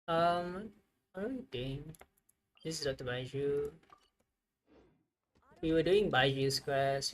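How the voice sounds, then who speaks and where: A game streamer giving casual livestream commentary, rambling and thinking aloud. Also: mid-range voice.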